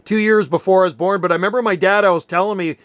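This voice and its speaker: A man's voice: high voice, steady tone, very fast, fairly bright tone, smooth, no room sound. A man in his sixties chatting casually over ham radio, enthusiastic and calling people "man" often. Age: 60s. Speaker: ham radio operator, channel host. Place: home on a Pacific Northwest island.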